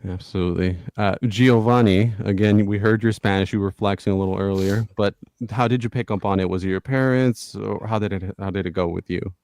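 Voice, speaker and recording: A man's voice: lowish voice, steady tone, fairly quick, few pauses, no echo. A man hosting a casual livestream chat, often restarting words and offering short agreements. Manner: hesitant, repeats words, warm affirmations. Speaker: podcast host.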